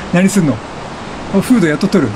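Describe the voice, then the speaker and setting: A man hosting a let's play with excited, exclamatory commentary, often repeating words in bursts. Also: high voice, normal speed, a crowd in the background, low-quality recording. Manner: laughs often while talking.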